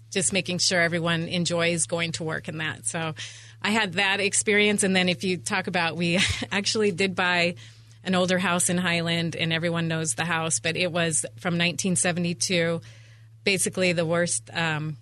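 A woman's voice: lowish voice, fairly bright tone. A middle-aged woman chatting at length as a guest in a radio interview, talkative and full of anecdotes, on a studio microphone. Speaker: interview guest, event venue owner. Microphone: studio microphone on boom arm.

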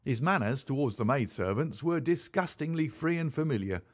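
A man's voice: mid-range voice, lively intonation, normal speed, lightly acted. A man voicing a distressed, respectable mother who keeps a boarding house, dramatic and formal, in an audiobook reading. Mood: grave, disapproving.

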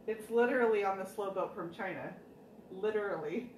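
A woman's voice: medium pitch, brisk, slight background noise. Chatty woman in an echoey room. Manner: chatty, laughs often, jokes with viewers. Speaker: furniture painting host. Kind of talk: casual live demo chat. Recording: echoey room, mic at a distance.

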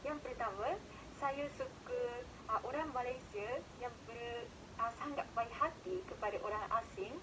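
A woman's voice: on the high side, measured pace. A young woman from Japan, answering interview questions outdoors in careful, hesitant second-language speech full of "ah" fillers. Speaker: interviewed student. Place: outdoors in a park. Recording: outdoor clip with clear background noise.

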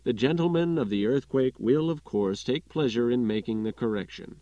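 A man's voice: medium pitch, normal speed, dark tone, no room sound. A man narrates a literary story for an audiobook in a mid-range voice. The sound is very dry, with a slightly muffled top end.

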